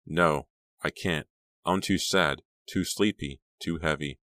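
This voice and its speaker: A man's voice: a bass voice, slow, bright, forceful tone, dry recording, exaggerated voice. A weary, plaintive young girl, as a male narrator plays her, drowsy and timid. The recording is dry and close, with the sad, confused temper of a storybook heroine. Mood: weary, reluctant.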